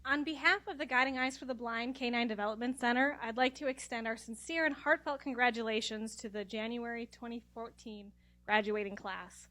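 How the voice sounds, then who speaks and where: A woman giving a formal, measured speech of thanks at a graduation ceremony. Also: on the high side, fairly even intonation, pushed and bright. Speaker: regional manager of a puppy program. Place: ceremony room.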